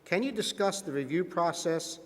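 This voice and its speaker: A man's voice: high voice, animated, normal speed. A man playing a courteous, methodical board investigator who puts formal, probing questions in a scripted role-play. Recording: reverberant meeting hall.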